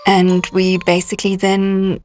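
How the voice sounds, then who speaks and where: A woman's voice: medium pitch, completely flat delivery, unhurried, darkish tone, soft background music. Matter-of-fact South African woman. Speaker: interviewee.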